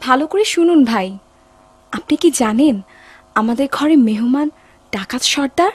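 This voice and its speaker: A woman's voice: high voice, lively intonation, a little slow, breathy edge, no echo, full character voice. A woman playing a clever, brave young maid in a cartoon, earnest and resolute. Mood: urgent, suspicious. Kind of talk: animated cartoon dub acting.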